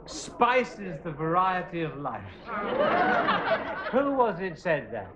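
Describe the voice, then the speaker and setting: A man's voice: fairly high pitch, very animated, slow pace, a loud crowd. A man in his thirties playing a fussy, predictable husband who doubts himself, in an earnest, flustered 1980s sitcom way. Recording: dull lo-fi TV audio with hiss.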